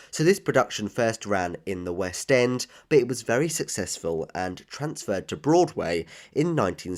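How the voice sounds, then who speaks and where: A man hosting a tribute podcast, nasal and a bit bunged up with a cold, earnestly listing credits with enthusiasm. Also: fairly low pitch. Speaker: podcast host. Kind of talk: scripted tribute podcast narration.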